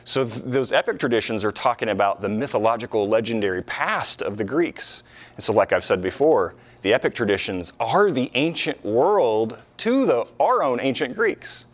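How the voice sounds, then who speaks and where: A man's voice: medium pitch, lively intonation, moderate pace. A man giving an informal, conversational lecture on ancient history, explaining as he goes and stressing key words. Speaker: history lecturer, channel host.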